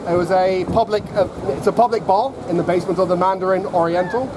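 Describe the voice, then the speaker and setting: A man from Hong Kong giving a personal account to a gathering, in a halting, measured way. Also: high voice, steady tone. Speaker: guest speaker, honorary lecturer. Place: outdoors in an urban public space. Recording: very noisy outdoor street with traffic.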